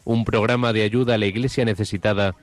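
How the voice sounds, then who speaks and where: Man, announcer, low voice, dry close sound, soft music behind. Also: fairly even intonation, no echo. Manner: measured, announcing. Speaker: program announcer. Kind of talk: scripted promo.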